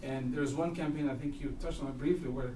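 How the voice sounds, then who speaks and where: A man from Palestine speaks at a brisk clip, earnest and halting, starting sentences over. He sounds distant in an echoey room, with steady background noise under his voice. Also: mid-range voice. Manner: earnest, halting with many restarts. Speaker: audience member speaking at a talk. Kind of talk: public Q&A comment.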